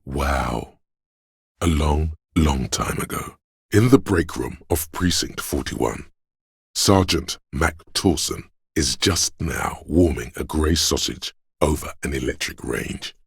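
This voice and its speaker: A man with a gravelly, husky voice reading scripted literary narration for a video game in a measured, solemn, deliberate way. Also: very deep voice, animated, no room sound, clean studio sound.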